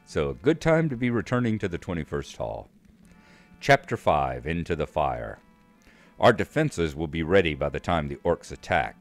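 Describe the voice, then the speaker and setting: Super deep guy with a cold, voice going hoarse, chatty let's play host reading quest text, faint game music under. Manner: relaxed, chatty, self-deprecating. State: hoarse from a cold, losing his voice. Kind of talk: casual gaming commentary, reading quest text aloud.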